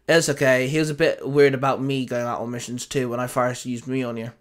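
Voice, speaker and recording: A man voicing a kind young woman hero who speaks gently and reassuringly. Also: medium pitch, flat delivery, a little fast, lightly acted.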